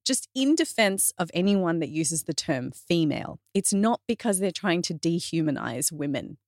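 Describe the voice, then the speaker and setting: Earnest, chatty woman with a lowish voice. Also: normal speed, dry recording, studio quality. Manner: animated and earnest, many "like" fillers, self-corrections. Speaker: podcast guest, fitness trainer. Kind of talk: casual podcast conversation.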